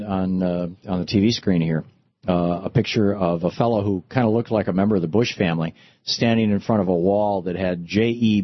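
A man's voice: sits low, muffled recording. A man hosting a political talk radio show, giving wry and opinionated takes.

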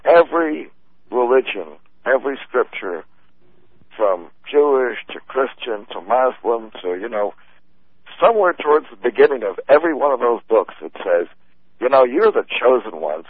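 A man's voice: mid-range voice, big pitch swings, moderate pace, slightly rough, dry recording. A man who grew up speaking German, chatting casually as a guest, rambling with many fillers and laughs. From United States. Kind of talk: casual chat. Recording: tinny phone-line sound.

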